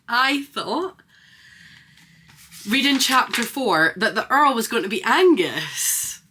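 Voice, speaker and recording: A woman's voice: fairly high pitch, very animated, slow pace, sharp tone, slight background noise. A woman giving casual, enthusiastic read-along reactions to a book, speaking informally and expressively. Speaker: book reviewer and vlogger.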